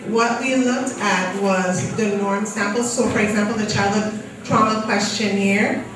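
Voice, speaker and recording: Woman presenting research in an echoey hall. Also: mid-range voice. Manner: conversational, explanatory. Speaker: graduate student presenter and moderator. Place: lecture hall. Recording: echoey hall from far off with audience.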